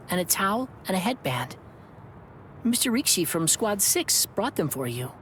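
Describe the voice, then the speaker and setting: Earnest young male healer, anime game, timid, gentle hesitant delivery, faint background noise. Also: on the low side.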